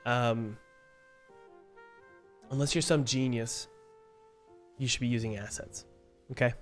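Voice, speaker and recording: Hoarse man chatting on a live stream. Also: mid-range voice, steady tone, slow pace, faint music behind. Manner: chatty, loose, many fillers and restarts. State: hoarse, coughing from a cold. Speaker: indie game developer and live-stream host. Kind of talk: casual live-stream chat answering viewers. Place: home studio. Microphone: studio mic.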